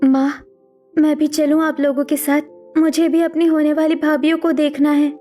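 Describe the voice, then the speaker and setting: A woman's voice: sits high, little pitch movement, moderate pace, dark tone, lightly acted. A woman voicing a hurt, defensive young woman in a cartoon story, emotional and distrustful. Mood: pleading. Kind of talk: Hindi cartoon story voice acting. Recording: music behind the voice.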